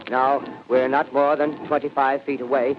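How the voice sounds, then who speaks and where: An actor playing a live on-scene radio reporter in a radio drama, talking in breathless commentary with hesitant fillers. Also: male voice, mid-range voice, little pitch movement, normal speed, lightly acted. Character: excitable male field radio reporter. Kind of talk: 1930s radio drama acting. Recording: dull lo-fi radio recording, faint hiss.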